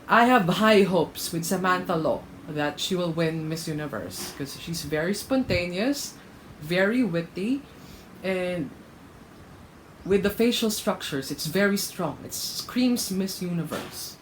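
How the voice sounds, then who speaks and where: A man's voice: sits high, lively intonation, slow, slight background noise, rough recording. A man commenting casually as a reaction vlogger, frank and laughing between remarks. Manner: chatty, laughs mid-sentence, frank critique. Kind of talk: casual commentary, rating contestants.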